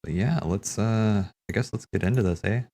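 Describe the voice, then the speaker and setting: A man's voice: fairly low pitch, moderate pace, warm tone. A man giving casual, off-the-cuff game commentary as a streamer, musing and thinking aloud in a rambling way. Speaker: streamer hosting a cutscene watch party.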